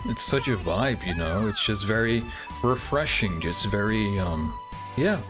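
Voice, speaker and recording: A man's voice: fairly low pitch, animated, measured pace. A man giving casual, laid-back reaction commentary on game trailers, musing as he goes. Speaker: gaming video creator. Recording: music behind the voice. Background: game trailer music.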